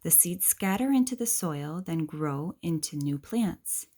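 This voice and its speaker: A woman reads a children's picture book aloud in a gentle, explanatory way, asking questions and adding asides for young listeners. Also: deep voice, lively intonation, measured pace.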